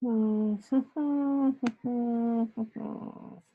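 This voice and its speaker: A woman's voice: on the high side, fairly even intonation, narrow, tinny audio. A woman teacher with a New Zealand accent, working through the scoring of a test step by step in an online class. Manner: slow, methodical, talks through scoring step by step.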